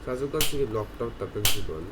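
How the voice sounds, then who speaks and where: A man's voice: mid-range voice, lively intonation, fast, heavy background noise. A man giving unscripted gameplay commentary, explaining as he goes in a rambling way, often repeating and correcting himself. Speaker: game video narrator. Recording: music playing loudly behind voice.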